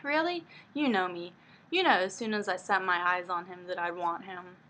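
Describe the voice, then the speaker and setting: A woman's voice: fairly low pitch, normal speed, pushed and bright, slight background noise. A woman voicing a demanding, amorous and suspicious young nobleman in a dramatic book reading. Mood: imperious. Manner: bold, demanding.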